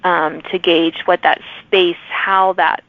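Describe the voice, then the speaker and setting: A middle-aged woman hosting a casual interview show, chatty and given to animated asides. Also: lowish voice, slow pace, gravelly, no echo, lo-fi sound. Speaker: podcast host.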